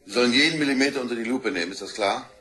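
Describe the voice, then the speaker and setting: An actor playing a brusque, dryly sardonic chief inspector in a German radio crime drama, brisk and authoritative. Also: male voice, mid-range voice, pushed and bright, breathy edge. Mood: brisk, commanding. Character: brusque, dry, commanding male police chief inspector. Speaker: police detective.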